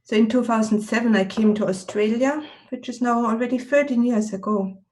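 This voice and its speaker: A woman introduces herself on a panel and lists the facts in a precise, orderly way. Her voice is fairly high. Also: a little fast, a little mellow, a little hiss or hum, rough recording. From Germany. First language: German.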